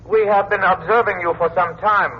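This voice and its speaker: Guy playing a polite bossy alien in an old radio play, high voice, formal diction, muffled noisy recording. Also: fairly even intonation, close to an everyday voice. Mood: formal, controlling. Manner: formal, measured, pedantic diction. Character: courteous, imperious disembodied male alien from a distant galaxy. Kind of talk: vintage radio drama acting.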